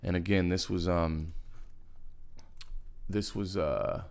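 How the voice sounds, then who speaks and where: A man giving a casual music software tutorial in a laid-back, slangy, rambling way, as host. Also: low-pitched, slow pace, vocal fry, clean tone. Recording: loud music over the voice. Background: hip hop beat and synth playback.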